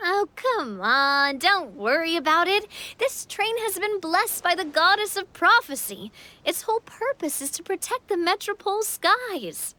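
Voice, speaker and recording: A woman's voice: very high voice, sing-song, a little slow, sharp tone, slight background noise, exaggerated voice. A woman playing a cheerful, confident young adventurer guide in a video game, upbeat, playful and reassuring. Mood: playful, assured. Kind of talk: video game character acting.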